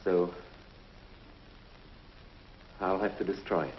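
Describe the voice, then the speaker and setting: An actor playing a grave, hesitant galactic commissioner in a 1950s TV drama, on a muffled old soundtrack. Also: male voice, sits low, fairly even intonation, slow. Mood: solemn. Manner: hesitant, solemn.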